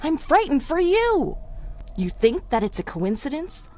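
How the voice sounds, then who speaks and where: Casting: slangy, loyal 1930s gal, highish and very animated, wry but worried, radio adventure. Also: female voice, a little slow. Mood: frightened, urgent. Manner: wisecracking, slangy, expressive.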